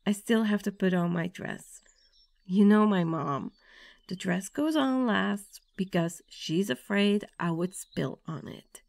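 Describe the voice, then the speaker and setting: A woman's voice: medium pitch, slow, creaky, darkish tone, no room sound. A woman playing a nervous, affectionate young bride-to-be in an ASMR girlfriend roleplay, with a tender, intimate delivery. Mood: anxious. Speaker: roleplay audio performer.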